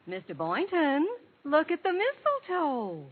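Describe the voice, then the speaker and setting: An actress playing a fussy, proper matron in a vintage radio comedy. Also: female voice, sits high, wildly swooping pitch, measured pace, heavily acted. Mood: fussy, insistent. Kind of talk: 1940s radio comedy acting. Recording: muffled old radio, loud noise.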